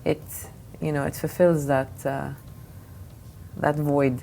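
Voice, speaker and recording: A woman's voice: sits low, little pitch movement, takes it slowly, gravelly, warm tone, rough recording. A woman originally from Lebanon, answering interview questions as an art fair director, with thoughtful answers full of restarts and fillers. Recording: faint background noise.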